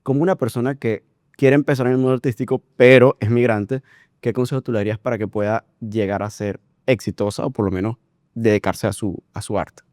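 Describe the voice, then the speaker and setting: A man's voice: mid-range voice, steady tone, brisk, a little mellow, no echo, studio quality. A man hosting a podcast, asking long, rambling questions in casual talk. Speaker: podcast host. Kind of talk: casual interview.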